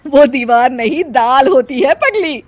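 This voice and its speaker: A woman's voice: high-pitched, animated, a little slow. A woman voicing a hot-tempered, bossy mother-in-law's ghost who scolds and taunts in a cartoon story. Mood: irritated, scornful. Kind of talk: Hindi cartoon story character acting.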